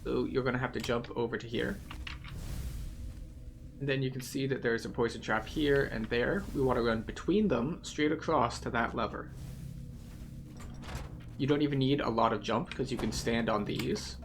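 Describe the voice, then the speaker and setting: A man's voice: mid-range voice, normal speed, gravelly, pauses often, background noise. A man giving a methodical, explanatory guided commentary through a video game as the host.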